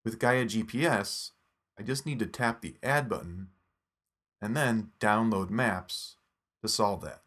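A man narrating a scripted phone app tutorial in a steady, instructive step-by-step voice. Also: on the low side, unhurried.

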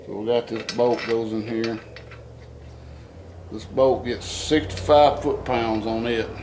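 A man talks through an engine rebuild in a garage workshop, plain-spoken and unhurried, thinking aloud as he works. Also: medium pitch, background noise. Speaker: home mechanic demonstrating an engine rebuild. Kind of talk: casual hands-on how-to. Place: barn or garage workshop, indoors.

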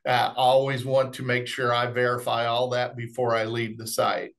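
A man's voice: mid-range voice, completely flat delivery, normal speed, creaky, fairly bright tone. A middle-aged man presenting webinar technical training in a folksy, explanatory way. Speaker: remote monitoring specialist presenting product training. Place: indoors, on a video call.

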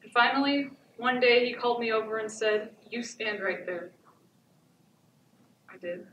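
A haunted, stoic abused wife, acted by a teenage girl delivers a dramatic stage monologue in short, stark phrases. Her voice is fairly high, heard from a distance in an echoey theatre. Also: a bit bright, highs cut off. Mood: haunted, restrained. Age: teens. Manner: deliberate, incantatory repetition with short stark phrases. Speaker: student stage actor. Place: theatre stage before an audience. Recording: echoey theatre, distant camera, background noise.

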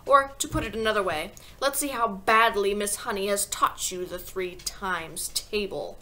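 A woman performs a towering tyrant of a headmistress from a storybook. The voice is forceful and hard-edged, booming out orders. Every phrase is barked with scornful, explosive emphasis. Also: normal speed, lightly acted. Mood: commanding, scornful. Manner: barking, emphatic, insult-hurling. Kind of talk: audiobook character voice.

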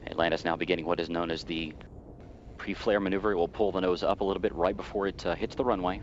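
A man's voice: low-pitched, steady tone, fast, slight background noise. A man giving live commentary on a spacecraft landing. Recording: dull audio, loud vehicle ambience.

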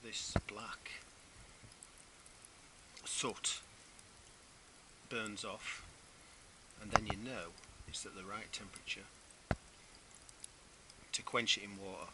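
A man's voice: fairly low pitch, fairly even intonation, slow, long pauses, heavy background noise. A man giving a casual step-by-step how-to while working outdoors on a garden patio, often restarting phrases as he explains. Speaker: DIY video maker.

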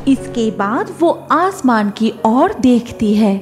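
A woman narrating a scripted children's story in an expressive storytelling manner. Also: fairly high pitch, slow pace, faint music behind.

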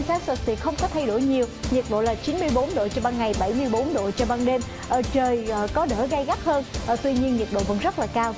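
A middle-aged woman presenting a weather forecast in a polite, semi-scripted way in a TV studio. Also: on the high side. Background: background music.

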